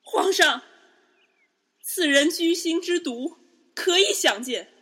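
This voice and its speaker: A woman playing a haughty, jealous imperial concubine in a Chinese palace radio drama, loud, emphatic and accusing. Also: very high voice, animated, takes it slowly, exaggerated voice.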